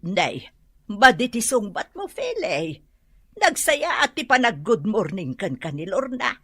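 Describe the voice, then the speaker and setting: A woman's voice: mid-range voice, very animated, a little slow, sharp tone, husky, no room sound, exaggerated voice. An actress with an Ilocano accent playing a patient older woman who admonishes young women in a radio drama. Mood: mildly scolding.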